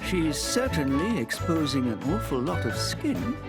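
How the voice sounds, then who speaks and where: An actor playing a courteous, dryly formal gentleman companion in a video game cutscene. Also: a little high, very animated, a little slow, loud music behind the voice. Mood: dry, disapproving.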